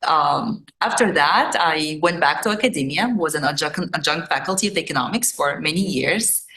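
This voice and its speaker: A woman in her forties who grew up in Egypt speaks to a community gathering. Her voice sits low for a woman, and she talks in a loose, chatty way with plenty of fillers. The sound carries a roomy echo from the meeting room. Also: normal speed, pushed and bright. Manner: chatty, uses "right?" and "um" often. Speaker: forum speaker. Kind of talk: informal presentation to a community audience.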